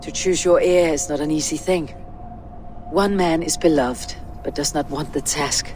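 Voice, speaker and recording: A woman playing a stern, level-headed Viking warrior in a video game, speaking in a grave, measured, commanding way. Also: sits low, darkish tone, slight background noise, natural voice. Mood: solemn, searching. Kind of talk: dramatic video game acting.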